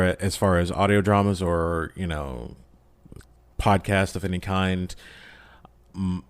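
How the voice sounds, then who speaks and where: A man's voice: deep voice, dry recording. A man chatting casually as a podcast guest and voice actor, playful and joking with theatrical asides. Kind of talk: casual chat.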